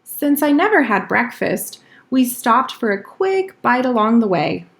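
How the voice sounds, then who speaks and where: Storybook schoolboy, read by a woman, earnest teller of tall tales, slow-paced delivery. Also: on the high side, very animated. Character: schoolboy spinning tall-tale excuses for lateness.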